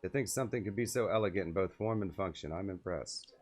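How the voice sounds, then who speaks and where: A man's voice: low voice, quick pace, a little mellow, faint music behind. Man voicing a proud, polite serpent-woman traveler. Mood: curious, polite.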